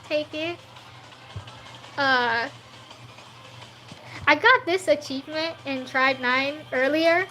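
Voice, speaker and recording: High bright-voiced woman streaming her drawing, english not her first language, slow easy chat w/ chat, faint music behind. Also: rough recording. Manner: chatty, answers chat questions, laughs often. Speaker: streaming artist. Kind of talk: casual livestream chat while drawing.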